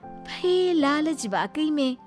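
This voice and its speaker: A woman narrating a moral story in an expressive storyteller style, with scolding, moralizing asides. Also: sits high, slow pace, faint music behind.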